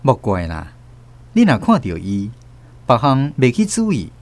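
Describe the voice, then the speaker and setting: A man with a Taiwanese accent narrating a radio novel in an expressive storytelling manner. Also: mid-range voice, a little slow. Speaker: narrator and host.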